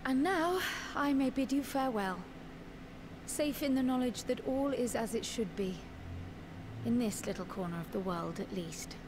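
A woman's voice: on the high side, moderate pace, background noise, close to an everyday voice. A woman voicing a small, youthful scholar mage in a video game, polite, formal and thoughtful. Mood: gentle. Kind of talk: video game cutscene acting.

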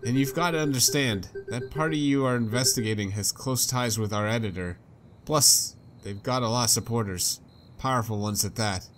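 A man's voice: medium pitch, normal speed, fairly bright tone, a little hiss or hum, lightly acted. A man voicing a cautious, worried young female journalist in a visual novel game, hesitant and pleading. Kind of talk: reading visual novel dialogue aloud. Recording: game music behind.

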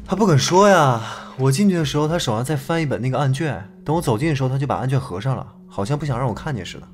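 A man acting as a young detective in an audio drama. He is inquisitive and casual, with a mid-pitched, animated voice and faint music behind. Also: racing, close to an everyday voice. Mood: curious. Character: inquisitive young male detective trusting his gut.

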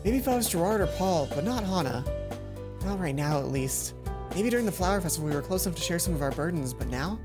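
A man's voice: high-pitched, lively intonation, fast. A young man hosting a let's play, reading visual novel narration aloud and adding casual commentary. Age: young adult. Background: faint game music.

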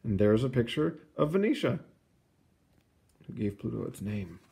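Animated man reading to children. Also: medium pitch, normal speed, gravelly. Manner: expressive, explanatory storytelling. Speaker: picture book reader. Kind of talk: children's read-aloud with casual asides.

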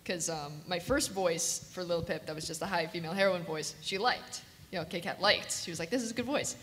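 A woman chatting casually and informally as a panelist, animated and jokey. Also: on the low side, a little fast. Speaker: convention panelist, voice actress. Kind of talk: casual chat. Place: large convention room with audience. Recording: echoey hall, noisy pickup.

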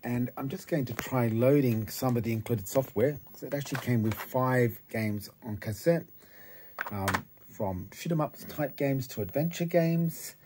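An Australian man talks casually through a hands-on hobby demo. His medium-pitched voice moves in short, halting phrases. It is captured on a handheld phone indoors, with slight background noise and clicking. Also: a little mellow. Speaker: retro computer hobbyist presenter. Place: small cluttered room indoors.

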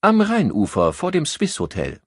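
A man's voice: on the high side, huge pitch swings, normal speed, dry recording, studio quality, pitched above the performer's own voice, exaggerated voice. A man voicing a brusque, annoyed woman police investigator, curt and accusing, in an audiobook crime story.

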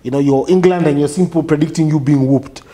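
A man in his forties hosting a casual discussion in a small studio, leading with lively questions. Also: fairly high pitch, quick pace. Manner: lively, leads the chat with questions. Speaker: host. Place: small office studio, indoors.

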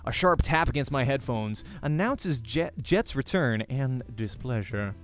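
A man's voice: medium pitch, expressive, measured pace, soft background music. A young man hosting a let's play, reading a visual novel aloud in the first person with dramatic storytelling.

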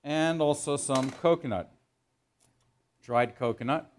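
A middle-aged man, a chef teaching in a kitchen, explaining as he works in an informal, halting way and repeating phrases for emphasis. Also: on the high side, a little hiss or hum. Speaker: chef giving a cooking demonstration. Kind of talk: instructional cooking demo, explaining while working. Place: indoor professional teaching kitchen.

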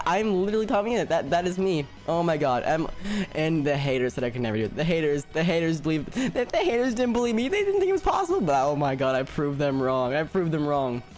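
A man's voice: a little high, darkish tone. A young man live-streaming a game and chatting with viewers casually, stuttering often and repeating words. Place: home room, indoors. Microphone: headset. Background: music playing.

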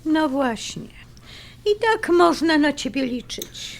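Actress as nagging, sarcastic radio-drama wife: very high voice, swooping lively intonation. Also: female voice, slow, heavily acted. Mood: sarcastic, irritated. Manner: sarcastic, demanding, exaggerated questioning. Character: sharp, nagging, bossy wife bickering with husband. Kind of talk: audio drama acting.

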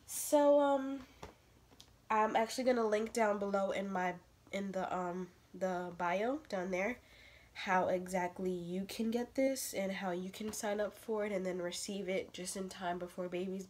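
A woman's voice: mid-range voice, steady tone, normal speed, darkish tone. Stuffy-nosed young woman chatting casually. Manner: chatty, rambling with many fillers. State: stuffy nose. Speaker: vlogger. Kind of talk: casual unboxing vlog. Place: bedroom with a crib, indoors.

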